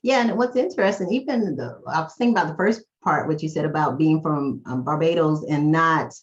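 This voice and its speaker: A woman's voice: deep voice, lively intonation, fairly quick, muffled recording. A middle-aged woman hosting an interview, chatty and enthusiastic, with rambling restarts. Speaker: podcast host and therapist. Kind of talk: interview hosting, casual chat.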